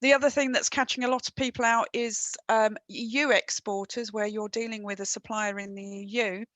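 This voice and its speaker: A woman's voice: medium pitch, a bit bright, no room sound. Woman giving a webinar talk. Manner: conversational, frequent ums, dry wry asides. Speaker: trade and customs presenter. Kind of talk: webinar presentation. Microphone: headset.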